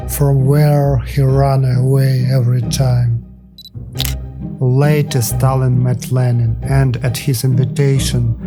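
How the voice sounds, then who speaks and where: Man narrating in a flat, even voice. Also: medium pitch. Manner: measured, even reading of facts and figures. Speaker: documentary narrator. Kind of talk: scripted documentary narration.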